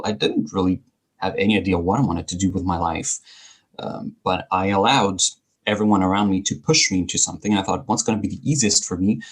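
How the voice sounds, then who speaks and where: A man's voice: lowish voice, husky. A man who is a native speaker of Greek and German, talking articulately and wryly as a coach being interviewed. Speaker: podcast guest, speaking coach. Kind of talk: conversational interview.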